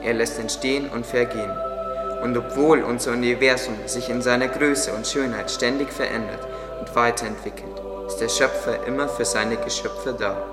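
A man's voice: mid-range voice, fairly even intonation, moderate pace, natural voice. A man playing a kindly, devout gardener who explains things earnestly in an audio drama. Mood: earnest, explanatory. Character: devout, kindly male gardener, patient teacher. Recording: echoey, long reverb, under music. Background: music.

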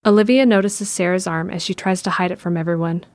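A woman's voice: on the low side, fairly even intonation, quick pace, no room sound, studio quality. A woman reading scripted narration for an audio drama. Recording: music behind the voice.

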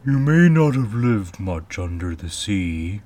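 A man's voice: lowish voice, big pitch swings, takes it slowly, darkish tone, a little hiss or hum. Man as a mournful, earnest storybook sea turtle. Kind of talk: storybook character voice.

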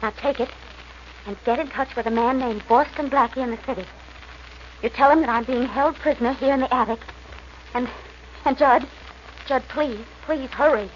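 Casting: actress for captive young woman keeping up a front, high lilting voice, vintage radio mystery with faint hiss. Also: normal speed, pauses often, natural voice. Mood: pleading. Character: captive young woman feigning breezy contentment. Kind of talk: 1940s radio acting. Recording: muffled, noisy old radio recording.

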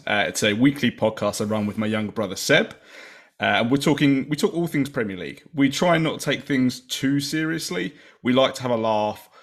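A man, a guest podcast host, chatting casually about football with lively emphasis. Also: mid-range voice. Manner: chatty, lively, fillers like "you know".

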